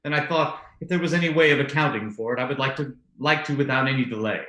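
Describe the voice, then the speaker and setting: A man's voice: mid-range voice, fast talker, sharp tone, echoey room, natural voice. A man playing a blustering, volatile brother in an old-time radio ghost drama, theatrical and falsely jovial. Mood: forced nonchalance. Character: blustering, volatile brother hiding guilty dread.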